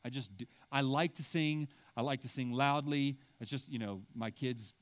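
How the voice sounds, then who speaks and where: A man's voice: mid-range voice, normal speed. A middle-aged man preaching a sermon in a church, conversational and self-deprecating, often checking in with "right?" and stumbling through restarts when he digresses. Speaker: pastor. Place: church sanctuary.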